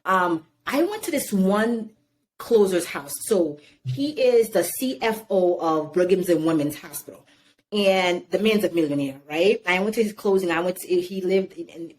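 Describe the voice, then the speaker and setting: Animated woman telling a story. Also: mid-range voice. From Haiti. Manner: animated storytelling, many "you know" fillers. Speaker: guest. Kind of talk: casual interview talk.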